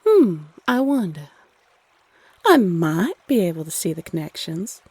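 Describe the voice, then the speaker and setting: Lady doing a flirty cupid spirit for roleplay audio, lowish breathy voice w some fry, coy n teasing humans, super dry close sound. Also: big pitch swings, mellow, no room sound, full character voice. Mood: reassuring, flirty. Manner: sultry, coy, teasing, drawn-out phrasing. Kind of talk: intimate roleplay audio acting.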